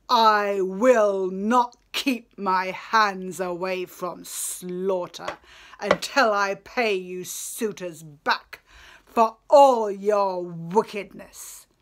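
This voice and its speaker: Lady doing a menacing warrior king out for revenge, really slow, sneering, epic tale. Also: medium pitch. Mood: cold fury. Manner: sneering, slow, deliberate scorn. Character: vengeful, menacing warrior king returned home.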